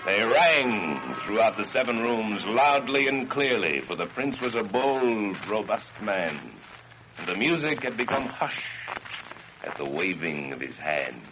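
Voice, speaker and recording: A man narrating a gothic horror story in a solemn, theatrical and deliberate manner. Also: on the low side, very animated, noisy background. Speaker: story reader. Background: dramatic music.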